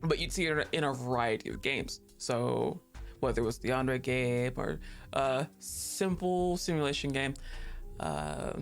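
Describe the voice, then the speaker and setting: A woman's voice: a bass voice, very animated, moderate pace, faint music behind. A young woman streaming, chatting casually and rambling, laughing often and swearing offhandedly.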